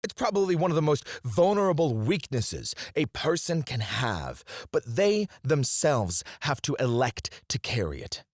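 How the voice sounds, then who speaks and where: A man's voice: mid-range voice, very animated, measured pace, few pauses, no room sound, studio quality. A man playing a cocky, flirty royal alchemist in an intimate fantasy ASMR roleplay, teasing and goading a rival with sardonic mock politeness. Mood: scornful.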